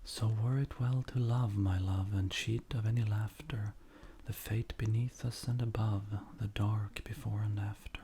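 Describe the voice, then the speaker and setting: Soft whispery guy doing asmr poetry reading, really slow n mellow, breathy, measured. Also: fairly low pitch, fairly even intonation. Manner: gentle, unhurried, measured. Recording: faint background noise.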